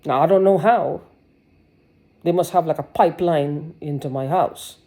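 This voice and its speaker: A woman's voice: low-pitched, normal speed, dark tone. A woman giving a rambling personal video monologue, agitated and sarcastic, swearing and restarting her sentences. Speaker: vlogger.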